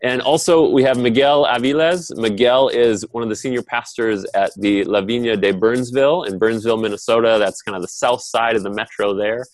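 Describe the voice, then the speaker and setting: A man's voice: medium pitch, steady tone, fairly quick, few pauses, no room sound. A man hosting an online panel session in an informal, conversational way. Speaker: host moderating an online panel. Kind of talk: casual webinar hosting. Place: online video call.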